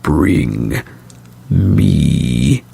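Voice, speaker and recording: A man voicing a hulking, menacing reptilian Santa creature that hisses its words slowly and insistently. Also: lively intonation, dark tone, exaggerated voice. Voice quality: deep gravelly hiss.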